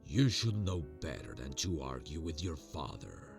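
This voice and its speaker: A man's voice: a bass voice, big pitch swings, normal speed, gravelly, soft background music, exaggerated voice. A man playing a stern, protective vampire father, lord of a castle, in a commanding ASMR audio roleplay. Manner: commanding, authoritative.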